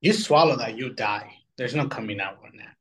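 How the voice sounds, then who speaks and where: A man with a mid-pitched voice that swings widely up and down as he co-hosts. He chats casually in short, jokey reactions and is quick to laugh. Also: lively intonation, a bit bright, breathy edge. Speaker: co-host of a cartoon countdown show.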